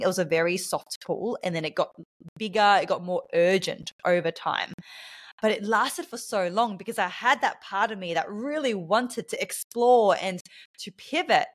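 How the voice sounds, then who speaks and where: Lower-pitched Australian woman, reflective. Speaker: podcast guest, career mentor and speaker. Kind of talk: conversational personal storytelling.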